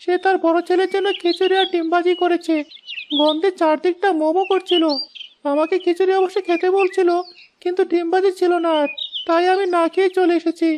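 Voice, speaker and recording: A woman's voice: extremely high pitch, flat delivery, a little fast, darkish tone, a little hiss or hum. A woman voicing an earnest, dutiful schoolboy from a poor family in a cartoon moral story. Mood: pleading.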